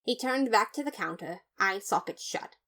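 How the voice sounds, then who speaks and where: A woman's voice: medium pitch, animated, normal speed, bright, forceful tone. A woman reading first-person fan fiction as a dramatized, emphatic narration. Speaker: podfic reader.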